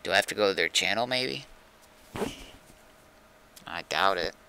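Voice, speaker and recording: A man's voice: low-pitched, expressive, a little slow, vocal fry, bright, forceful tone, slight background noise. A man chatting casually on a gaming livestream, rambling and thinking aloud as he goes.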